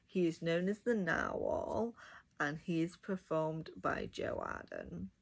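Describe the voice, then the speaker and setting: Woman, casual reviewer, enthusiastic, creaky vocal fry, slow pace. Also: low-pitched. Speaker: audiobook reviewer. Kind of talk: casual review talk to viewers.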